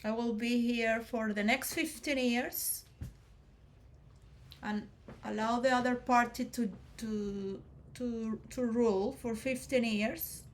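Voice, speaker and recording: A woman giving a rambling philosophical monologue to role players, shifting between soft musing and loud emotional outbursts. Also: on the high side, steady tone, slow, slight background noise. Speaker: speaker addressing role players.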